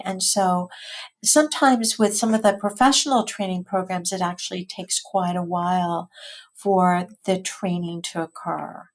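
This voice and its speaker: American woman explaining as an expert guest. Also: mid-range voice. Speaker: expert guest, physician and health policy researcher. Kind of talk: explanatory interview answers.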